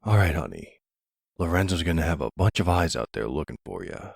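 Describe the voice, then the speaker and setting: Man playing a deep gravelly middle-aged mafia boss. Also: very animated, darkish tone, no room sound, clean studio sound, full character voice. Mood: reassuring. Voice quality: low gravelly, husky. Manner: slow, affectionate, uses pet names. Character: protective middle-aged male mafia boss, doting, gruff. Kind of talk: intimate ASMR boyfriend roleplay.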